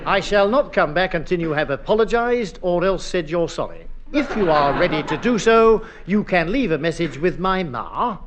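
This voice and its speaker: High-voiced, bumbling English government clerk. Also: male voice, expressive, moderate pace, hardly any pauses. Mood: reading aloud. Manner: flustered, hesitant, politely evasive. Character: bumbling, evasive male government clerk in a radio sitcom. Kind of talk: British radio comedy acting. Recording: noisy old radio comedy recording.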